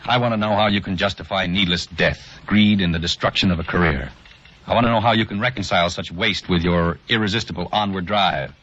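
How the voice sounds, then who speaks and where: A man's voice: low voice, fairly even intonation, fairly quick, fairly bright tone. An actor playing a brooding, bitter male doctor in a 1940s radio drama, speaking in a somber, earnest way. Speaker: surgeon. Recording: dull lo-fi 1940s radio recording.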